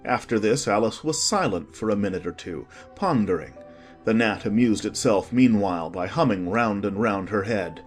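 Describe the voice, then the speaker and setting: A man's voice: on the low side, expressive, normal speed, faint music behind. A man narrating a children's story from a script as the storyteller.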